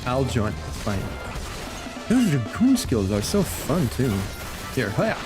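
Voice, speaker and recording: Playful male game streamer, lively pitch. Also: slow pace, music in the background. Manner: playful, chatting to viewers while playing. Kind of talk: casual live game stream chat and commentary.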